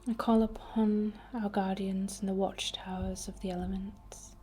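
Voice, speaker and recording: A woman whispering a ritual ASMR incantation in a breathy, deliberate way. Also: mid-range voice, level tone, warm tone, a little hiss or hum. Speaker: ASMR witch and Reiki healer.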